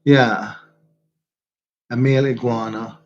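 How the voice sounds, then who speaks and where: A man with a stuffy nose chats loosely into his phone, rambling from one story to the next and laughing often. His voice sits at a middle pitch, and the audio is dull and lo-fi. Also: steady tone, takes it slowly. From Jamaica. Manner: rambling, chatty, laughs often while telling stories. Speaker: vlogger. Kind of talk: casual livestream chat.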